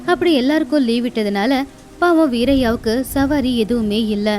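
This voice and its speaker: A woman's voice: fairly high pitch, normal speed, a little mellow, soft background music. A woman narrating a scripted moral tale as a storyteller.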